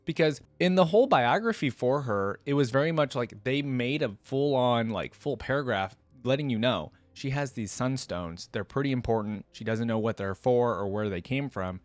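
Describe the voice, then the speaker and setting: A man's voice: mid-range voice, animated, moderate pace, hardly any pauses, no echo. A man giving casual, chatty reaction commentary as the host of a video. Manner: informal, chatty. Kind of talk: casual reaction commentary and story reading aloud.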